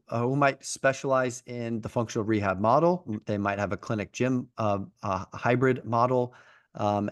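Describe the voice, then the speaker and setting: A man's voice: medium pitch, no room sound. A man hosting a podcast interview, asking questions in a friendly way with many fillers and restarts. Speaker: podcast host.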